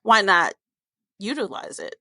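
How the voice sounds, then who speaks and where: A woman's voice: mid-range voice, lively intonation, a little slow, pushed and bright, no echo, studio quality. A woman podcast host chatting casually about a book in an opinionated, informal way. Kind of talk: casual book discussion chat.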